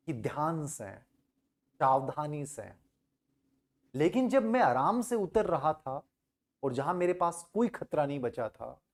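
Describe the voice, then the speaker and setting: A man's voice: a little high, darkish tone, full character voice. A man voicing a puzzled, indignant young prince in a moral story, questioning with emphatic disbelief.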